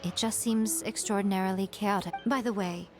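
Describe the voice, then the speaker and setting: A woman voicing a poised, teasing shrine guide in a video game, with smooth, deliberate and theatrical phrasing. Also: medium pitch, steady tone, close to an everyday voice. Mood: composed, teasing. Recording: game music behind the voice.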